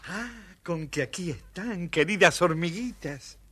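An actor playing a cheerful, cocky young goatherd, telling things playfully in a children's audio story. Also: male voice, high-pitched, lively intonation, measured pace, fairly bright tone, faint music behind. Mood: friendly. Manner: boastful, playful.

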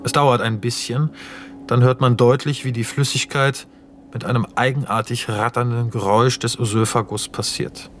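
A man's voice: mid-range voice, fairly even intonation, moderate pace, fairly bright tone, slight background noise. A man narrating scripted stage directions for a radio play in a precise, matter-of-fact way. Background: music.

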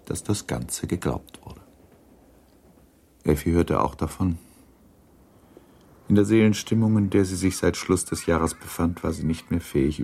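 A grave male storyteller narrates a radio drama in a measured way. His voice is deep and gravelly, with faint noise behind it. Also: darkish tone. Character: sober literary narrator of a period novel. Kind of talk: scripted narration in a radio play. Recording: clear background noise.

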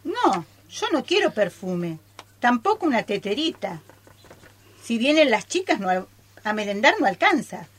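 A woman's voice: a little high, sing-song, unhurried. A woman playing a forgetful, muddled and fussy old lady customer in a comic radio play. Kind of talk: comic radio theatre acting.

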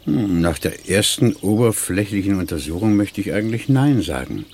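An actor playing a cautious, deferential doctor in a radio crime drama, hesitant and politely formal. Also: male voice, on the low side, animated, normal speed, a little mellow, husky. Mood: hesitant, grave. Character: cautious, deferential male doctor examining a corpse. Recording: outdoor ambience, faint birds.

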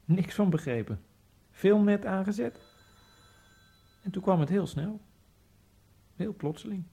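An actor playing a dead Egyptian immigrant man who narrates his own fate in a radio drama, in a quiet, dry and sardonic way. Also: high-pitched, measured pace, vocal fry, husky, exaggerated voice. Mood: wry. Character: dead male Egyptian immigrant, wry and detached. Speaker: first-person narrator.

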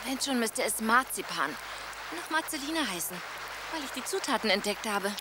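An actress playing a spirited young woman, the tsar's daughter, who is quick to argue in a radio drama. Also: on the high side, animated, noisy background, natural voice. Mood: teasing.

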